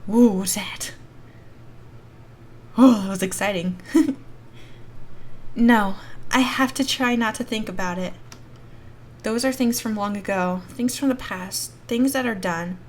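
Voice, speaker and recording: A woman hosting a gaming video, reading a visual novel aloud as an expressive storyteller with casual commentary. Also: mid-range voice, a little slow, pauses often. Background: background music.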